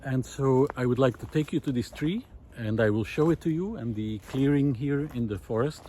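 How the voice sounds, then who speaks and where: A man talking unscripted and reflectively to camera outdoors in a wooded park, pausing often mid-sentence between short phrases. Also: medium pitch, mellow. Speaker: vlogger. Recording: clear outdoor background noise.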